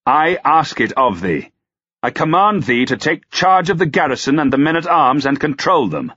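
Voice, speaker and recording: A man's voice: mid-range voice, very animated, moderate pace, a bit bright, breathy edge, no room sound, muffled recording, pitched above the performer's own voice. A man voicing an imperious, suspicious medieval duke with archaic, commanding, sardonic speech in an audiobook. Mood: serious, pressing. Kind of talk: dramatised audiobook dialogue.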